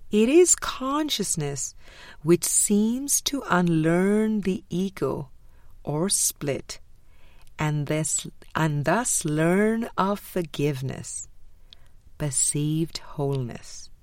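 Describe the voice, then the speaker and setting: Woman, audiobook narrator, low pitch, measured scripted delivery, deliberate pauses, dry close sound. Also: expressive, a little mellow, no room sound. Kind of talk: scripted spiritual book reading.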